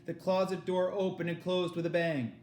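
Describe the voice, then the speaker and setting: A man reading a children's novel aloud with expressive narration, recorded in an echoey room. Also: high-pitched, moderate pace, a little hiss or hum. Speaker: read-aloud reader.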